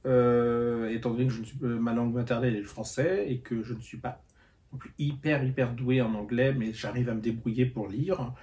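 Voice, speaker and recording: A man's voice: mid-range voice, steady tone, brisk, dark tone. Hesitant, tired French-speaking man vlogging. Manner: hesitant, many fillers and restarts. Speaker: book vlogger. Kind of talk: casual reading-update vlog.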